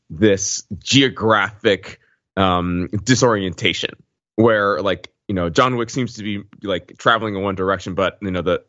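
A man co-hosting a podcast, analysing film casually in halting phrases and checking his points with "right?". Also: low voice, fairly bright tone, dry recording, lo-fi sound. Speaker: podcast co-host.

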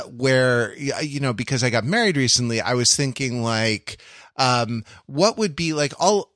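A man with a medium-pitched voice chats casually on a podcast panel. He feels his way through sentences haltingly and riffs playfully as he thinks aloud. The sound is dry, with no room echo. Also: a bit bright. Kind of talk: casual chat.

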